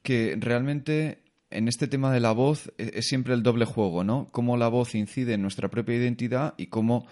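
A man from Spain in a relaxed discussion, colloquial and agreeable. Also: medium pitch, normal speed, few pauses. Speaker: podcast panelist. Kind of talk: casual discussion.

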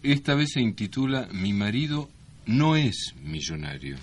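A man's voice: fairly low pitch, very animated, a little slow, soft background music. A man with an Argentine accent co-hosting a casual radio show, speaking in a hesitant, tongue-in-cheek way. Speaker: radio host. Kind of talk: casual co-hosted radio talk. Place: radio studio.

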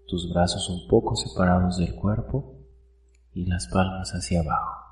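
A man guiding a meditation in a soft, warm, calm and gentle voice, unhurried and full of pauses. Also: low voice, slight background noise. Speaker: host and guide of a guided meditation show. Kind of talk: guided meditation, soothing narration. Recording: roomy echo, distant mic, soft music.

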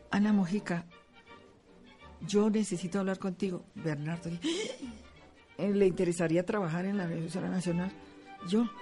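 An older woman with a Colombian accent telling anecdotes as an interviewee, animated, with halting repetitions and acted-out bits of dialogue. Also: mid-range voice. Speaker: interviewee, veteran radio actress. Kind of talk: spoken reminiscence, anecdote telling.

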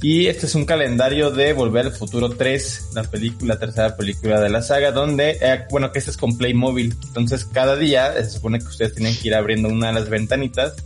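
A man's voice: medium pitch, brisk, few pauses. A man with a Mexican accent chatting casually as a show co-host, explaining products in a livestream style. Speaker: show co-host presenting collectibles. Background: background music.

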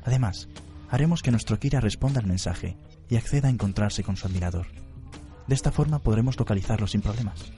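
An actor playing an unflappable, analytical detective in a radio drama, methodical and blunt. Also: male voice, on the low side, expressive, quick pace, warm tone, faint music behind, lightly acted. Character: analytical, unflappable male detective briefing his team.

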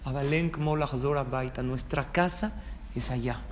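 A man's voice: mid-range voice, moderate pace. A Mexican man, a rabbi, giving an informal religious talk in a conversational way, often putting questions to his listeners. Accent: Mexican Spanish. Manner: conversational, checking in with listeners through rhetorical questions. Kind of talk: informal religious sermon with anecdotes. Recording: reverberant hall, clear background room noise.

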